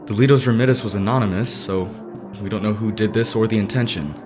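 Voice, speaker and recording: A serious teenage boy idol acted by a man speaks in a low voice with formal, measured phrasing. He is proud and quick to feel slighted, as in an anime dub. Loud music plays underneath. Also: natural voice. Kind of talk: anime fan dub acting.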